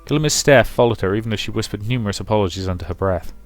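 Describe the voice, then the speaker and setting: A man's voice: on the low side, racing. A man reading a visual novel's story narration aloud as a let's play narrator. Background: music.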